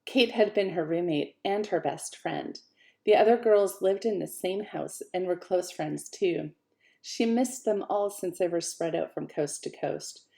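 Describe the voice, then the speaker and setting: A woman author reading her own fiction aloud in an expressive, lively way. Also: fairly low pitch, measured pace, creaky. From Canada. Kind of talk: audiobook-style fiction reading.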